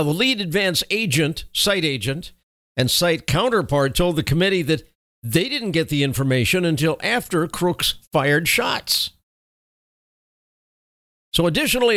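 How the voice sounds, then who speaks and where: A man hosting a podcast, his voice slightly high and swinging widely as he talks. He recaps the news, adds opinionated asides, and closes with folksy sign-offs. The recording is close and dry, with faint music underneath. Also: a bit bright, no echo. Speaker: podcast host.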